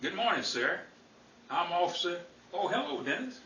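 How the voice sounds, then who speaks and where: Fed-up policeman for 1940s-style radio comedy, male actor, officious and scolding, forceful highish voice, roomy sound. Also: big pitch swings, moderate pace, exaggerated voice. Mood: exasperated. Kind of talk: 1940s old-time radio comedy acting. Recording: echoey, distant, faintly noisy recording.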